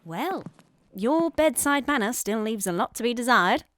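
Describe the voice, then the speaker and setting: An actress playing an eccentric forensic doctor in an audio drama, wry and theatrical, eagerly explaining gruesome puzzles. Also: female voice, fairly high pitch, expressive, normal speed, fairly bright tone, no echo, clean studio sound. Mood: wry, measured.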